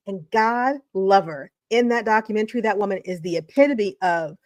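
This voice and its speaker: A woman's voice: medium pitch, animated, moderate pace, no echo, studio quality. A woman educator in her forties or fifties giving emphatic, motivational video commentary, repeating phrases for effect. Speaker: educator and channel host.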